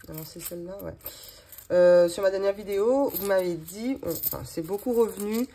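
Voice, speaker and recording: A woman's voice: medium pitch, moderate pace, dark tone, breathy edge. A woman in her thirties with a regional French accent, chatting casually and rambling with many fillers while going through her monthly budget. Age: 30s. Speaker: budgeting vlogger. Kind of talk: casual chat while doing a cash-envelope budget.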